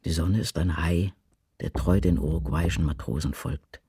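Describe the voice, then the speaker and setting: An actor reciting as a grim narrator of apocalyptic visions in a radio play, declaiming in incantatory short phrases. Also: male voice, deep voice, steady tone, moderate pace, warm tone, husky, dry recording, clean studio sound, exaggerated voice. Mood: ominous.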